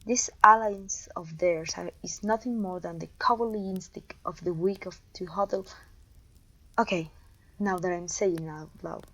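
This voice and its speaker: A woman's voice: medium pitch, a little hiss or hum, natural voice, low-quality recording. A woman playing a haughty, domineering evil queen and sorceress in a scripted roleplay audio, imperious, scornful and theatrical. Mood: irritated, disdainful. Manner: imperious, scornful, theatrical, with sighs and disgusted groans.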